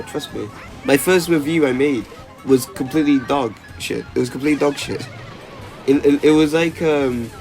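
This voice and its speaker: A young man talking casually in a vlog, rambling with lots of stammered restarts, "like" fillers and casual swearing. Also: mid-range voice. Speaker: YouTuber.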